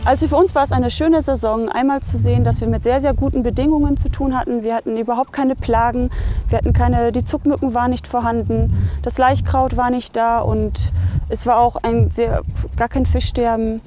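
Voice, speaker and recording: A woman in her thirties gives interview answers in a fairly high voice. She is recorded outdoors by the water, with noticeable ambient noise around her. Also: fairly even intonation, a little fast. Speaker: sailing school representative. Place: outdoors at a lakeside marina. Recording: clearly noisy outdoor ambience.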